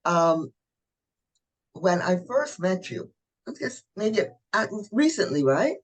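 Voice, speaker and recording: A middle-aged woman from Barbados, a coach telling her story as an interview guest in a lively, chatty way. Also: on the low side, takes it slowly, breathy edge. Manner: lively, chatty, warm asides like "honey".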